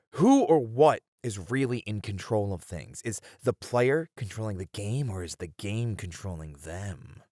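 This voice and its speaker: A man's voice: mid-range voice, dry recording. Guy playing a curious game dev, kinda unsure, casual, voice swings up and down a lot, dry close sound, horror asmr roleplay. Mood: intrigued. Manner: hesitant, casual, expressive. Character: hesitant but curious, excitable male game developer. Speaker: voice actor in an audio roleplay.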